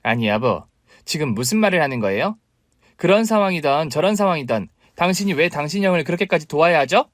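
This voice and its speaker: A man voicing an arrogant, mocking younger sister-in-law in a spoken story, whose sharp talk turns to stammering fear. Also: on the high side, lively intonation, fast talker, no echo, clean studio sound, voice pushed up, natural voice. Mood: scornful.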